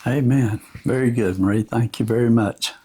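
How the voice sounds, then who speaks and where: Man, mid-range pitch, casual co-host, conversational announcements. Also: moderate pace, warm tone, husky. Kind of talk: casual hosting chat with announcements.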